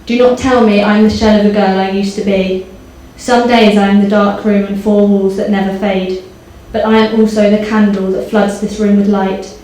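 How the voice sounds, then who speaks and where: A woman's voice: mid-range voice, flat delivery, normal speed, hardly any pauses, dark tone. A young woman giving a prepared speech and poetry recital on stage in a hall. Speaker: poet at own book launch. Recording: echoey hall, faint background noise.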